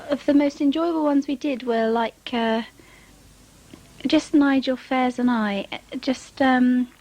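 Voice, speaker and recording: A woman's voice: high voice, a little slow, darkish tone, rough recording. A woman being interviewed as a voice actress, reminiscing casually in a hesitant, giggly way.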